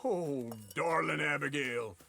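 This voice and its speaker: An actor playing a crotchety, mocking old-timer cowboy in a video game, folksy and crude, with an American accent. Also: male voice, a little high, slow, creaky, pushed and bright, heavily acted. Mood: scornful. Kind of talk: comic video game dialogue.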